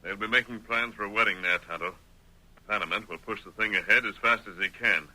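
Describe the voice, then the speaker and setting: A resolute masked cowboy hero in a vintage radio western. His low voice is very forceful and authoritative, with measured wording, on an old broadcast recording. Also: rough recording. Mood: calm, resolute. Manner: authoritative, measured, clearly worded.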